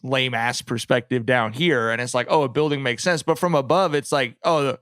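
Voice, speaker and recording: A man's voice: mid-range voice, moderate pace, fairly bright tone, dry recording, clean studio sound. A man hosting a podcast in casual, comedic chat, with wry and profane humour. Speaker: podcast host.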